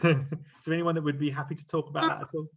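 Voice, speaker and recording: A man's voice: fairly high pitch, little pitch movement, quick pace, gravelly, fairly bright tone. A middle-aged man, a university lecturer, hosting a panel on a video call in a friendly, hesitant way with many fillers. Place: home room. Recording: slightly muffled video call audio.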